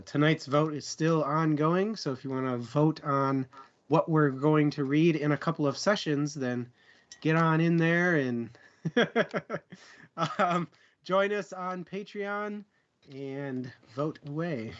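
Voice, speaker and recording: A man's voice: fairly high pitch, takes it slowly, lo-fi sound. A man co-hosting a book discussion livestream, chatting casually in a jokey way. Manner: jokey, fond of puns. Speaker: co-host of a book discussion show. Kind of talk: casual livestream chat and plugs.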